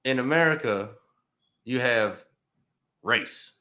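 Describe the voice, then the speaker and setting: A man's voice: medium pitch, pushed and bright. Casual profane man in podcast chat. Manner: loose, profane, quick backchannels. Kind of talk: casual conversation.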